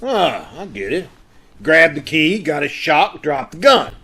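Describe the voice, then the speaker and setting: An actor playing a gruff, curious police inspector with a gravelly voice, in 1940s radio detective style. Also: male voice, a little high, sing-song, measured pace, bright, forceful tone, full character voice. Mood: gruff, inquisitive.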